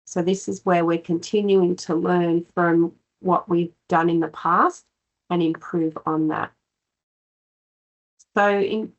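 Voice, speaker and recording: A woman with a cold giving a measured webinar presentation, pausing often on fillers. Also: on the low side, creaky, darkish tone, poor audio. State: has a cold.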